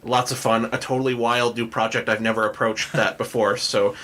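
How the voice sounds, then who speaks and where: A man hosts a casual podcast in a bright, clear-cut voice of average pitch. He talks informally, with a joking and self-deprecating manner. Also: little pitch movement, a little fast, slight background noise. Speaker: podcast host.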